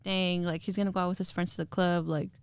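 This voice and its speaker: Young female podcast host, curious and giggly, crisp tone. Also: fairly low pitch, little pitch movement, fast, no room sound. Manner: chatty, laughs often, asks questions. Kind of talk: casual chat.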